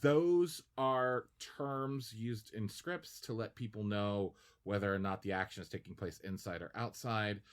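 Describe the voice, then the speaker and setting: A man hosting a podcast, reading the stage directions of a script in a steady, explanatory way. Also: on the low side, unhurried, few pauses, smooth. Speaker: podcast host and narrator.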